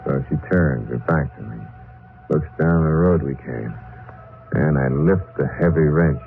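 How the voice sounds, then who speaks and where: An actor playing a guilt-haunted, nervous murderer in an old-time radio horror drama, speaking in halting, stammering phrases. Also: male voice, extremely low pitch, soft background music. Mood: grim, confessional. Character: guilt-haunted, jittery murderer narrating his crime. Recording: noisy, muffled old radio broadcast.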